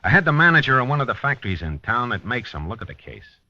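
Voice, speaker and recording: A man's voice: mid-range voice, big pitch swings, fast, pushed and bright, dry recording. An actor playing a dogged American police detective, narrating his case in a terse, wry, hard-boiled 1950s radio style. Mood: grim, methodical. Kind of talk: 1950s radio drama acting, noir narration. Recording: dull vintage 1950s radio recording.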